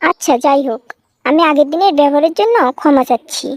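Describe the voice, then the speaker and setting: A woman's voice: high voice, quick pace, no room sound, natural voice. A woman playing a polite, curious young university student from the city in a cartoon comedy, with a standard Dhaka accent and a questioning manner. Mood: apologetic. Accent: standard Dhaka Bangla.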